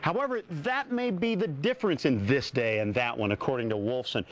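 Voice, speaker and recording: A man's voice: medium pitch, brisk. A man anchoring television sports news, reading scripted copy in a florid, alliterative broadcast style.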